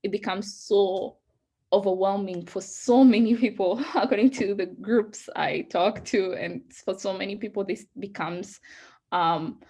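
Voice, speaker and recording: A woman whose first language is Somali, a guest speaker answering audience questions over a video call, often pausing and restarting as she searches for words. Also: mid-range voice, mellow, slightly rough.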